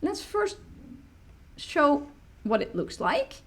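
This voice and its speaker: A woman in her thirties, a native Dutch speaker, explaining a software tool step by step in a screen-recorded tutorial from a small room. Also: high voice, big pitch swings, slow. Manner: explanatory, walks through clicks step by step. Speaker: teacher tool demonstrator.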